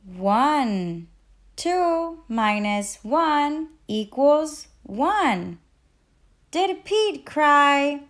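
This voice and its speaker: A woman's voice: high voice, very animated, very slow. A woman reading a children's picture book aloud expressively, putting questions to young listeners. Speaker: teacher and children's read-aloud host.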